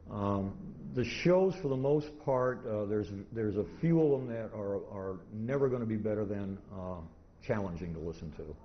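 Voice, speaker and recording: A middle-aged American man presenting an informal lecture at a convention, in a halting, methodical, anecdotal manner. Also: lowish voice, lively intonation. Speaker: presenter, old-time radio collector. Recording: dull, noisy live room recording.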